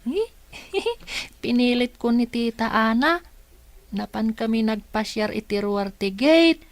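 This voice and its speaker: A woman's voice: fairly high pitch, expressive, takes it slowly, dry recording. A woman voicing a sweet, curious young child in an audio drama, innocent, polite and a little hesitant.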